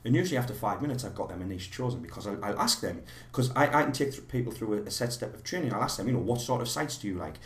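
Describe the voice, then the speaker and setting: A man with a slightly hoarse voice from a cold, teaching a live online course in a rambling way with many fillers and restarts. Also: on the low side, animated, racing, few pauses, roomy sound.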